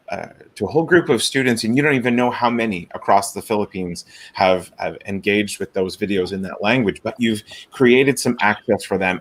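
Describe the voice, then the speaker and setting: Thoughtful male host with a low voice. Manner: thoughtful, circling questions with fillers. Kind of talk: hosting an online panel.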